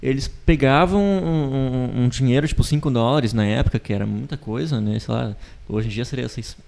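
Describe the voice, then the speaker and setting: A man's voice: medium pitch, moderate pace, gravelly, darkish tone. A young man telling a story in casual podcast chat, full of hesitations and tag questions. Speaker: podcast co-host.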